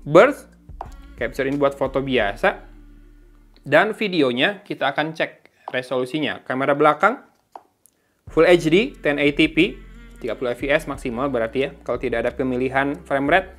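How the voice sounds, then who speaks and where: A man's voice: mid-range voice, moderate pace, pauses often, fairly bright tone, soft background music. A man giving a casual, informal tech review, thinking aloud as he reads out specifications. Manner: informal, thinking aloud while reading out specs. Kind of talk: casual unboxing and review commentary.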